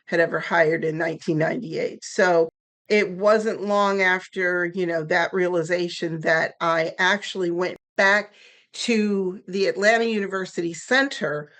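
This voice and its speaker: Candid, lively woman in her sixties, low voice. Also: a little slow. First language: German. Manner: candid, lively storyteller with a ready laugh. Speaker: guest, nonprofit founder. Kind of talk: podcast interview.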